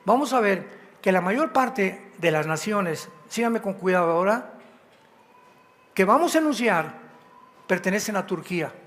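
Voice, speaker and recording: A Mexican man preaches with a high voice that rings through a large hall's long reverb. He is emphatic and a little tired, throwing rhetorical questions at his congregation as he teaches. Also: lively intonation, a little slow, long pauses, fairly bright tone. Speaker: pastor. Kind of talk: sermon teaching. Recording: hall reverb.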